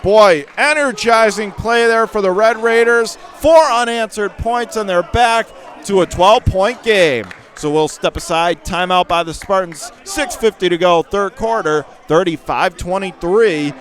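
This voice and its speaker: A man with a fairly high voice calls a basketball game in radio play-by-play style. He announces in short, clipped phrases and is quick to get excited when the action heats up. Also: a faint crowd. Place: high school gym during a game.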